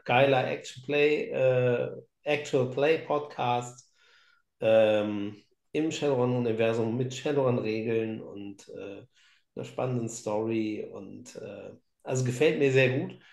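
A man's voice: medium pitch, vocal fry, darkish tone. Enthusiastic man in an echoey room. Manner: enthusiastic, stumbling. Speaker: podcast panelist. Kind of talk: casual chat. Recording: roomy, echoing sound.

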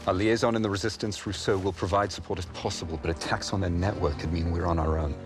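An actor playing a suave, coolly formal British special forces agent in a war game. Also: male voice, low-pitched, steady tone, fast talker, loud music behind the voice, natural voice. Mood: cool, grave. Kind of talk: game cutscene acting.

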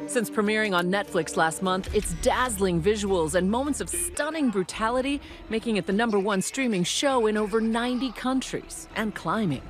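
A woman reading a scripted news feature as a reporter's voice-over. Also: medium pitch. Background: music under the voice.

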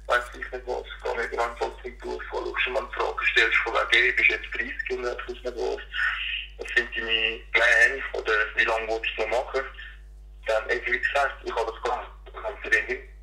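A man's voice: mid-range voice, flat delivery, normal speed, vocal fry, pushed and bright, roomy sound, low-quality recording. A man, a fighter talking as a guest in loose, rambling, casual speech. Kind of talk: casual interview.

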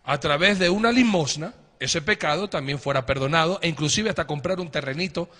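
A middle-aged man hosting a religious programme, explaining emphatically to viewers with drawn-out stresses and rhetorical questions. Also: a little high, pushed and bright. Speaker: host of a religious panel programme. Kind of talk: preaching-style explanation to viewers. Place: wood-panelled indoor studio or meeting room. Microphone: handheld microphone. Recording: very echoey room.

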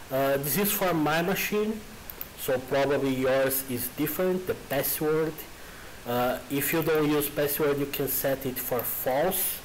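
A Brazilian man, a Portuguese speaker, talking through a software tutorial in hesitant English with a Brazilian accent and frequent fillers. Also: medium pitch, a little hiss or hum, rough recording. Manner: halting, informal, many uh fillers. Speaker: software developer. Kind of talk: screen-recorded coding tutorial.

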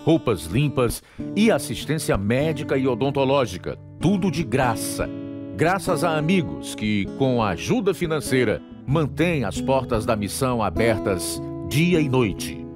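A man's voice: mid-range voice, big pitch swings, a little slow. A man with a Brazilian accent, announcing and narrating a scripted dramatic radio programme. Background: guitar music.

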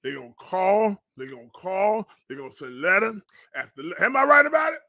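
A man's voice: high-pitched, very animated, brisk, creaky, pushed and bright, no room sound, clean studio sound. A man, a pastor hosting a live commentary, indignant and emphatic, repeating phrases and firing rhetorical questions like a preacher. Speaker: pastor and online show host. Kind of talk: fiery live-stream commentary, preacher's cadence.

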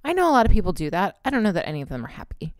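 A woman chats casually as a podcast co-host, candid and rambling, swearing now and then. Her voice sits a little low. She is close on the mic in a dry, dead-sounding space. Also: very animated, rapid-fire, no echo. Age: 30s. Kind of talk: casual chat.

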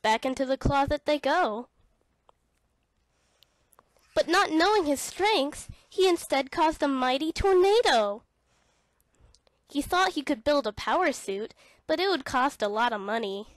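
A woman narrating a read-along children's storybook in an expressive, dramatic way. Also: high-pitched, measured pace, long pauses, dry recording.

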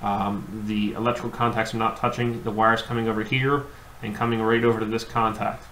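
A man's voice: fairly low pitch, little pitch movement, fairly quick, bright, forceful tone. A man, an HVAC technician, giving a hands-on demonstration at his workbench, explaining plainly and repeating himself with many fillers. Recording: echoey room, faint background hum.

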